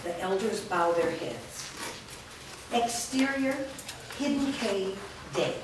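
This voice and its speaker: A woman's voice: mid-range voice, expressive, slow pace. Woman reading stage directions in an echoey room. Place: large indoor studio room. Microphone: far camera. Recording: echoey room from far off, noisy background.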